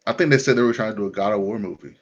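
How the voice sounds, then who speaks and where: A man with a fairly deep voice on a slightly muffled recording. He talks casually as one of the panel in an informal group discussion. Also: rapid-fire. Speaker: panelist on an online pop culture show.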